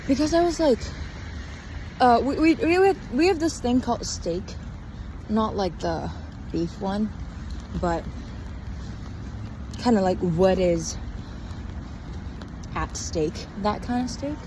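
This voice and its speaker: Young woman, tired, slow-paced, casual podcast monologue, trailing off, loud-ish car and street noise outdoors. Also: medium pitch, expressive. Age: young adult. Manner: halting, trailing off mid-thought. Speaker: podcast host. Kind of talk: casual rambling diary-style monologue. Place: outdoors on a walk in a town. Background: traffic and urban ambience.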